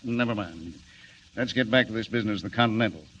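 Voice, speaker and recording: An actor playing an irritable, harried railroad superintendent with a blustering, abrupt manner, in 1940s radio serial style. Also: male voice, on the low side, fast talker, a bit bright, lightly acted. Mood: anxious, exasperated. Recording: muffled, noisy vintage 1940s radio recording.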